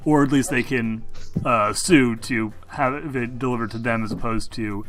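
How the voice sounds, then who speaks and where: Male game master, bright tone, improvised halting narration, light music underneath. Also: medium pitch, fairly even intonation. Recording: music mixed behind voice.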